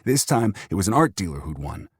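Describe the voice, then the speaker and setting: A man's voice: deep voice, very animated, brisk, slightly rough, dry recording, studio quality. A man reading first-person fiction as an audiobook narrator, performing the text in character.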